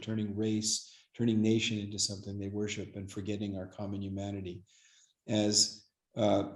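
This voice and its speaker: Low-voiced man speaking solemnly, echoey room. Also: darkish tone. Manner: solemn, deliberate, repeats words for emphasis. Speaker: guest expert speaker. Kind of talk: earnest, oratorical panel remarks.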